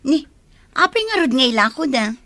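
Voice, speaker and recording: A woman with an Ilocano accent playing a decisive, well-off woman in a radio drama, brisk and businesslike. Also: high-pitched, lively intonation, bright, forceful tone. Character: decisive, well-off woman lending money from afar.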